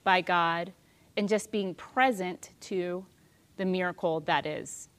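A woman in her thirties shares a reflective spiritual talk, thoughtful and unhurried. Her voice sits somewhat low. She pauses softly as she gathers her thoughts. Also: clear, resonant tone. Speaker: speaker on prayer and spirituality.

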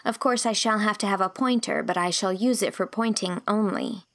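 A woman's voice: medium pitch, moderate pace, fairly bright tone, close to an everyday voice. A woman voicing an idealistic young schoolteacher who speaks earnestly and indignantly.

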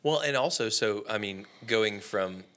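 A man in his thirties hosting a casual conversation, reflective and animated, his voice jumping higher when he gets excited. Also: a little slow.

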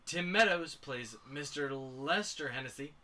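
A man's voice: mid-range voice, lively intonation, unhurried, pushed and bright, a little hiss or hum. A man co-hosting a movie podcast, reading out cast lists with hesitant ums and uhs. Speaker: podcast co-host. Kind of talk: casual chat.

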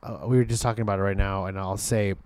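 A man's voice: lowish voice, steady tone, fast, darkish tone, clean tone. A man chatting casually as a recap co-host, slangy and emphatic about his opinions. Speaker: podcast co-host.

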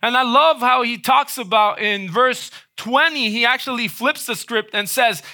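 A man preaching a sermon in a conversational way, often checking in with a quick "right?". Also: very high-pitched, pushed and bright. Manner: conversational, frequent "right?" tag questions.

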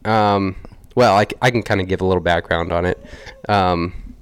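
Deep-voiced man, raspy from a cough. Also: steady tone, moderate pace. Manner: laid-back, chatty, laughs easily. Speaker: podcast co-host. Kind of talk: casual podcast chat.

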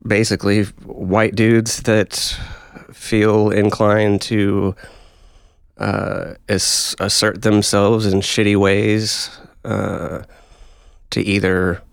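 A man in a casual chat who speaks in a halting, thoughtful way, with many fillers and some swearing. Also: fairly low pitch, level tone, creaky, dry recording, studio quality. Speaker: guest.

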